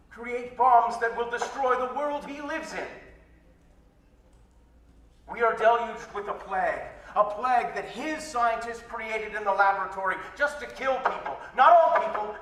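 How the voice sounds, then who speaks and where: A man performs a defiant revolutionary making a public speech in a staged story. The voice is high, earnest and emphatic, with grave rhetorical questions. It rings in a roomy space, slightly distant from the microphone. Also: fairly bright tone.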